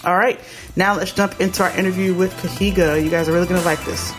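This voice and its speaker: A man's voice: sits high, fairly even intonation, fast. Male podcast host, upbeat, filler-heavy, faint music bed. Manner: upbeat, many filler ums and uhs. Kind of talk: podcast hosting.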